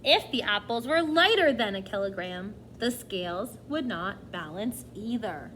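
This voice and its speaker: A woman's voice: mid-range voice, lively intonation, a little slow, a bit bright, a little hiss or hum. A woman teacher reading a nonfiction book aloud to children, expressively, often addressing them directly with questions and asides.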